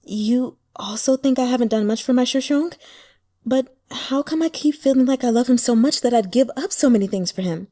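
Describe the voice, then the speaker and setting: A woman's voice: fairly high pitch, a little fast, warm tone, voice pushed up, natural voice. A woman voicing a timid, lovelorn young female cultivator in an audiobook, small-voiced, hesitant and plaintive. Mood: plaintive, confused. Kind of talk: audiobook character acting.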